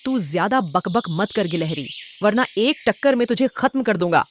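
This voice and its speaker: A woman's voice: mid-range voice, lively intonation, normal speed, slight background noise, natural voice. A woman voicing a vain, bullying male goat in a cartoon, haughty and scolding. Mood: contemptuous.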